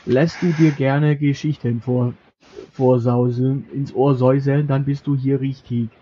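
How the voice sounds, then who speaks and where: A man's voice: mid-range voice, little pitch movement, unhurried, mellow, slightly rough. A man co-hosting a podcast in casual banter, reading out from a list and joking as he goes. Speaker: podcast co-host. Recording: muffled lo-fi podcast recording with background noise.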